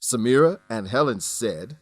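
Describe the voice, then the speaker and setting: A man presenting an English lesson in deliberate, scripted educational narration. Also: medium pitch, lively intonation, dry recording, rough recording. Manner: deliberate, instructional.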